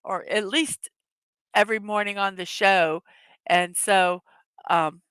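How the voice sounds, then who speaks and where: A middle-aged woman hosting a casual morning livestream, chatting and reading viewers' messages aloud, her voice gone a bit hoarse and rough. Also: medium pitch, steady tone, slow, fairly bright tone, dry recording. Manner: chatty, reads chat messages aloud, laughs often. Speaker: livestream host.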